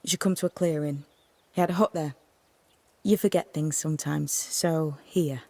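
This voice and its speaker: Casting: cryptic female medium for a fantasy game, gravelly creak, measured and eccentric. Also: low voice, darkish tone. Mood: calm, guarded. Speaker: game voice actor. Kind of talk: dramatic game dialogue.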